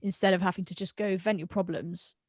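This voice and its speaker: A woman from the UK speaks on a video call with a slightly low voice. She talks frankly and with energy, and her words tumble and restart. The sound is dry and close. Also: a little fast, dry recording. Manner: frank and animated, stumbling over words. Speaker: guest friend. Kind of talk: casual video-call chat.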